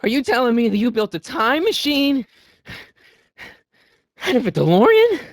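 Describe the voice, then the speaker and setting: An actor playing an excitable, wisecracking American teenage boy from the eighties in a video game, with slangy, youthful delivery. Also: very high voice, moderate pace, no room sound, exaggerated voice. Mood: startled, incredulous. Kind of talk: animated video game voice lines.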